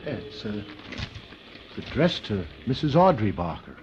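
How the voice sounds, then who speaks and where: Low-voiced, folksy detective's sidekick. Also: male voice, big pitch swings, a little slow. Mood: earnest. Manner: folksy, deferential to the boss. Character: plainspoken, loyal detective's sidekick, an Irish-American man. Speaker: radio actor. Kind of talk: old-time American radio detective drama acting. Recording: muffled, noisy old radio recording.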